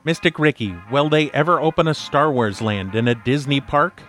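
A man plays a keen podcaster who is easily sidetracked in a comic audio adventure. His voice is on the high side and very animated. Light music runs beneath it. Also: moderate pace. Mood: eager, curious. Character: eager, easily distracted male podcaster trapped in adventure. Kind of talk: comedic audio drama acting.

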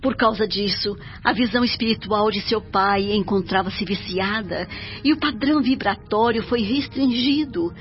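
A Brazilian woman acting a serene, devoted spirit mother in a radio drama, speaking in a solemn, measured way. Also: medium pitch, close to an everyday voice. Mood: grave, explaining. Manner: solemn, measured, edifying delivery. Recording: dull lo-fi audio under music.

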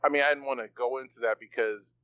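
A man's voice: medium pitch, fairly even intonation, fast, pushed and bright, clean tone, dry recording, dull audio. A man co-hosting a podcast in casual chat, rambling loosely with plenty of fillers and slang. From part Bahamian. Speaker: podcast co-host.

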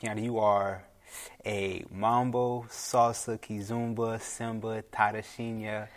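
A man hosting a podcast, asking questions in a relaxed, casual way and often repeating short agreements. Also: fairly low pitch, slow. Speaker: podcast host. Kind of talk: casual interview chat.

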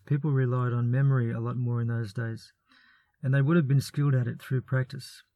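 Man, mid-range voice, calm reasoned commentary, lightly wry, dry close recording. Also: medium pitch, gravelly, no room sound. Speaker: biblical studies presenter. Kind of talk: measured scripted commentary.

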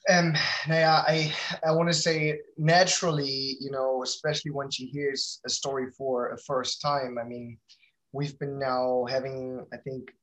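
A man's voice: mid-range voice, fairly bright tone, poor audio. A man answering interview questions casually, in a hesitant, self-correcting way. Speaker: interview guest, company founder.

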